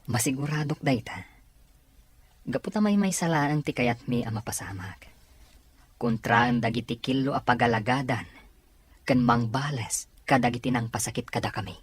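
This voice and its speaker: A man playing a loyal gang member and recruiter in an Ilocano audio drama, with a husky voice. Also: mid-range voice, moderate pace, dry recording, close to an everyday voice. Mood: coaxing, assuring. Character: loyal young male recruiter for a rebel gang.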